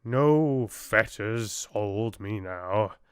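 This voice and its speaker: A man voicing an ancient, awestruck oak tree in a fairy tale audiobook, with a grand, drawn-out delivery. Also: on the low side, expressive, clear, resonant tone, dry recording. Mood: exalted.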